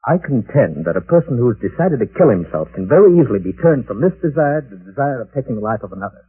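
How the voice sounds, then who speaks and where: An actor playing an obsessed, resentful professor in a 1940s radio drama, on an old, tinny broadcast. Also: male voice, mid-range voice, sing-song, quick pace, husky. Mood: insistent. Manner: agitated, insistent. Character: obsessed middle-aged male professor, resentful and unstable.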